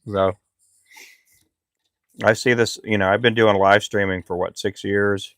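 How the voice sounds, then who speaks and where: Middle-aged man, low and gravelly, easygoing rambler, dry close recording. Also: measured pace, dry recording. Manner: relaxed, rambling, frequent fillers. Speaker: lure maker live streamer. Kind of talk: casual live stream chat.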